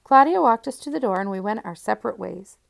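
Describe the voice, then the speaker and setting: A woman reading a children's storybook aloud in an expressive first-person narration. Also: medium pitch, fast talker, vocal fry. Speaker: audiobook reader.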